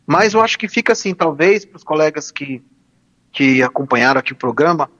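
A man's voice: fairly high pitch, animated, brisk, a bit bright. A man, a law professor and radio guest, talking informally with hesitant restarts. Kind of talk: casual radio chat.

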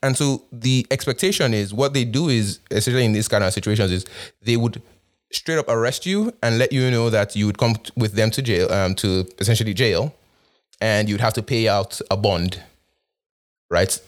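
A man's voice: fairly low pitch, normal speed. A young man from Nigeria with an accent shaped by both Nigeria and North America, talking casually with fillers and restarts. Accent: Nigerian with North American influence. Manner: conversational, with frequent fillers and repeated restarts. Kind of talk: casual monologue.

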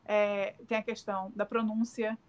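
A woman's voice: medium pitch, flat delivery, normal speed, a little mellow. A middle-aged woman with a Northeastern Brazilian accent, answering interview questions in a hesitant, filler-filled way with many restarts. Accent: Northeastern Brazilian Portuguese. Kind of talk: casual remote interview.

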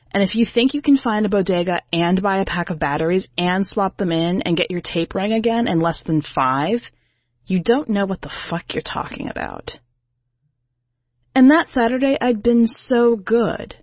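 A woman's voice: fairly low pitch, no echo, lo-fi sound. A woman narrating a short story as a brash, defensive, sarcastic female singer, in a wry, exasperated, conversational way.

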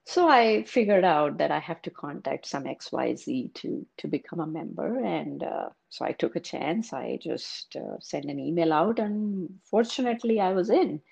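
A woman's voice: lowish voice, expressive, moderate pace, creaky, hardly any pauses, darkish tone, husky. A woman, an author thoughtfully explaining her writing in an interview. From India. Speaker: author, guest. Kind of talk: interview answers.

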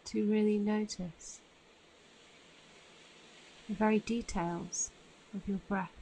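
A woman guiding a meditation in a soothing, unhurried manner with long pauses between phrases. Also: medium pitch, steady tone, warm tone, a little hiss or hum, rough recording. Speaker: meditation guide. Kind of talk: guided meditation narration.

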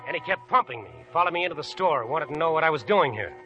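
An actor playing an earnest young prospector who speaks straightforwardly, in an old radio play. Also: male voice, fairly high pitch, lively intonation, rapid-fire, music in the background, lightly acted. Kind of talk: 1940s radio acting. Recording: dull lo-fi old radio recording.

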